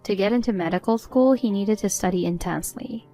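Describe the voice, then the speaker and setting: A woman's voice: mid-range voice, a little fast, warm tone, faint music behind, lightly acted. A woman playing a defiant, sarcastic adult daughter-in-law in a story, composed and matter-of-fact. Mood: firm.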